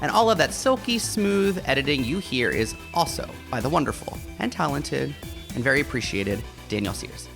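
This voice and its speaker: An American man co-hosting a podcast in a casual, excitable, chatty way, often saying "like". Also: medium pitch, normal speed, vocal fry, music in the background. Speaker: podcast co-host.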